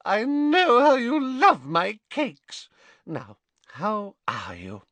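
A man voicing a fussy, vain old rich woman who talks in a shrill, simpering and imperious way. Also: wildly swooping pitch, takes it slowly, a bit bright, dry recording, heavily acted.

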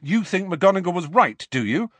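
A man's voice: high-pitched, big pitch swings, moderate pace, a bit bright, dry recording, pitched above the performer's own voice, heavily acted. A man voicing a hot-tempered, indignant teenage schoolboy in an audiobook, blunt and protesting. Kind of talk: audiobook character voice.

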